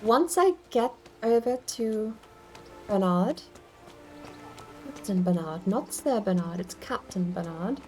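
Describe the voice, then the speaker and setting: A woman's voice: mid-range voice, animated, takes it slowly, a little mellow, faint music behind. A woman giving casual livestream game commentary, rambling and thinking aloud as she plays. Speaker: game streamer.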